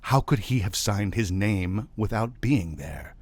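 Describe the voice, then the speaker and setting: Man acting a loud, pompous, exasperated official. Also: lowish voice, lively intonation, a little slow. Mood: imperious, exasperated. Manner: loud, indignant, imperious. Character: pompous, exasperated male high official, blustering. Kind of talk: dramatic storybook reading.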